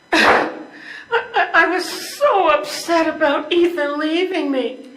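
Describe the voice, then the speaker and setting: A woman's voice: very high-pitched, expressive, drawn-out words, over music, exaggerated voice. An older woman in amateur readers theater playing a shaken, forlorn wife with a plaintive, faltering manner. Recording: echoey room.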